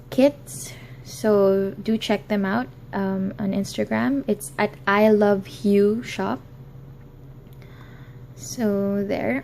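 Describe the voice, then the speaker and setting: Hesitant young woman with a gravelly voice. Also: mid-range voice, darkish tone, slight background noise. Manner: hesitant, many ums, apologetic. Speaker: crafting tutorial host. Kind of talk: casual how-to tutorial. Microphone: recorded in an office.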